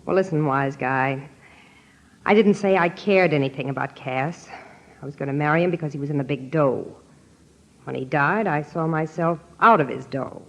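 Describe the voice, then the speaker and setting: A woman's voice: low voice, moderate pace. An actress playing a tough, jittery girlfriend with a secret in an old-time radio crime drama, talking slangy and urgent. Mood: hard, defiant. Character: hard-boiled, jittery young gangster's girlfriend with a secret. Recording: dull, lo-fi old radio recording.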